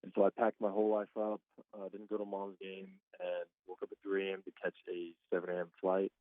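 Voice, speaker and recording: A man's voice: deep voice, a little fast, no room sound. A man of twenty-five, a guest baseball player, talking casually by phone and laughing often. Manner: relaxed, chatty, laughs often. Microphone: phone call. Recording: tinny dry phone line.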